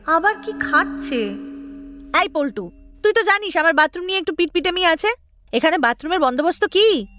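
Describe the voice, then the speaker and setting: A chatty young heroine of an audio story, playfully exasperated and animated. Her voice is very high and bright, set in long reverb over music. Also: moderate pace, exaggerated voice. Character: exasperated, chatty young woman narrating her love life. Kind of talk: dramatized audio story narration.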